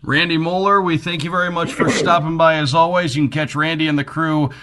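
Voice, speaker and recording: A man's voice: on the high side, steady tone, a little fast, background noise. A man hosting a sports radio show, talking conversationally as he puts questions to a guest.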